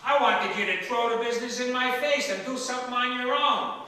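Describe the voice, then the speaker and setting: A man's voice: very high-pitched, fairly quick, bright, forceful tone. Man playing a feisty old father, echoing hall. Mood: exasperated, reproachful. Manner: emphatic, argumentative, repeats words for force. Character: feisty, stubborn 97-year-old father, blunt and needling. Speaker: stage actor. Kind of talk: one-act stage play dialogue. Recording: echoey hall from far off, faint noise.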